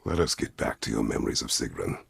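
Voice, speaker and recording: An actor playing a stern, weary, middle-aged Greek war god in a video game, speaking tersely and formally with a gravelly, husky voice. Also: male voice, a bass voice, big pitch swings, fast, no room sound, clean studio sound, exaggerated voice. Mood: stern, brooding. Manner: terse, grave, formal phrasing without contractions. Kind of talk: dramatic video game voice acting.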